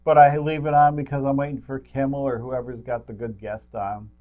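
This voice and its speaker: A man's voice: medium pitch, flat delivery, brisk, phone-quality sound. A man co-hosting a movie review show, chatting casually in a rambling, jokey way. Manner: rambling, jokey, chuckles at own remarks. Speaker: co-host of a local film review show. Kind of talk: casual unscripted chat about movies.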